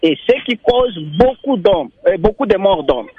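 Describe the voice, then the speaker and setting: A young man from Maniema calling in to a radio show, complaining in a halting way and repeating words. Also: sits high, sing-song, breathy edge, dry recording, narrow, tinny audio. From Maniema, Congo. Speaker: radio caller. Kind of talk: call-in comment.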